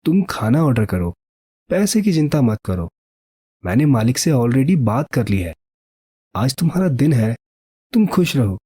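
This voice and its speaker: A man voicing a poor, earnest young village painter and devoted husband in an animated story, sincere and proud of his craft. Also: mid-range voice, measured pace, long pauses, breathy edge, dry recording. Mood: reassuring.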